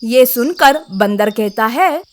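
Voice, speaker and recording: A woman's voice: fairly high pitch, animated, takes it slowly. A woman narrating a scripted children's story as a storyteller.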